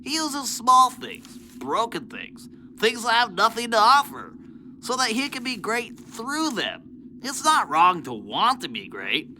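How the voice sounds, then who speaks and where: A woman's voice: a little high, very animated, a little slow, pushed and bright, slight background noise, full character voice, rough recording. A woman playing a wise, confident angel soldier, proclaiming in a children's audio drama. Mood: instructive. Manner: declarative, proclaiming.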